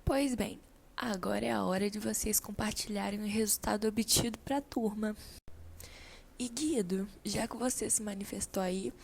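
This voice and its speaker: A woman's voice: mid-range voice, normal speed, gravelly, a little mellow, slight background noise, close to an everyday voice. A woman playing a kindly Brazilian schoolteacher in a scripted amateur radio drama, didactic and encouraging. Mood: instructive. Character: female schoolteacher, kindly, guiding a classroom discussion.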